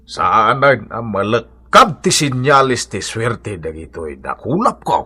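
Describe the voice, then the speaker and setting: A man acting in an Ilocano radio comedy as a jolly, boastful father hooked on cockfight betting, with a husky, exaggerated comic delivery. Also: lowish voice, very animated, slow, pushed and bright, exaggerated voice. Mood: boastful, gleeful.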